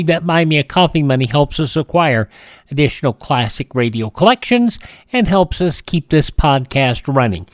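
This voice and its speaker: A man's voice: fairly high pitch, no echo, clean studio sound. A man hosting a podcast, reading a scripted introduction. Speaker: podcast host.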